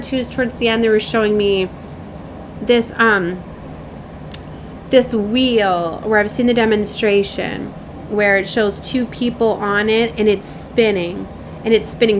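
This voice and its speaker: A woman's voice: mid-range voice, noisy background. A woman in her late thirties giving a casual, rambling spiritual reading as a tarot reader, with an emphatic manner.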